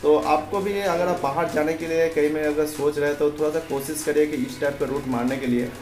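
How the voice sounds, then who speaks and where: A man's voice: fairly high pitch, steady tone, fairly quick, darkish tone. A man gives an informal, repetitive voice-over explanation, addressing viewers as friends. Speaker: host of a welding advice video. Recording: roomy echoing voice-over with music behind. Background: background music.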